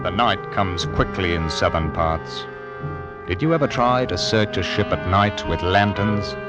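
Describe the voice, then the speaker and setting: An actor playing a stern, anxious 1700s ship's captain in old-time radio drama, telling his tale gravely and dramatically. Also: deep voice, lively intonation, measured pace. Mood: grave, haunted. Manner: dramatic, grave first-person storytelling. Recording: old radio recording with music behind.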